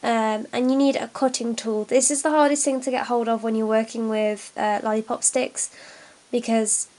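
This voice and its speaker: A woman's voice: a little high, steady tone, moderate pace, a little mellow. A young woman with a cold and a blocked nose, chatting through a hands-on craft tutorial with lots of ums. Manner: chatty, many fillers, talks while working. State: congested from a cold. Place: at a table indoors, hands on camera.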